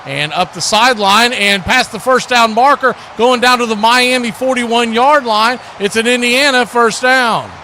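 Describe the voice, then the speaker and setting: A man's voice: extremely high pitch, moderate pace, few pauses. A man doing live play-by-play commentary of a football game as the announcer. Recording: clear background noise.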